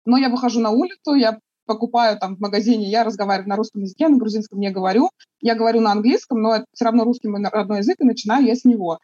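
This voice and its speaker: A woman from Russia answering interview questions in a thoughtful, halting way, with many fillers and restarts. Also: a little high, warm tone, husky, no echo, highs cut off.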